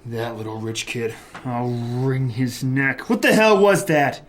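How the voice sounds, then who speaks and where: An actor playing an irritable hard-boiled private eye in a noir audio drama, terse and wisecracking. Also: male voice, mid-range voice, unhurried, natural voice. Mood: angry. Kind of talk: noir radio drama acting.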